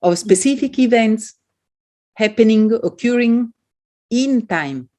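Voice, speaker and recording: A woman with an Italian accent, speaking as an academic who answers questions in an expressive, halting way. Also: fairly high pitch.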